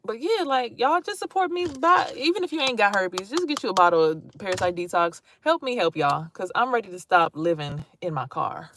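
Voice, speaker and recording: A woman's voice: medium pitch, a little fast, few pauses, slight background noise. Blunt chatty woman in her thirties. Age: 30s. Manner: blunt, animated, swearing freely, chatty asides to viewers. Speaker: vlogger streaming to viewers. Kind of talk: casual live-stream talk.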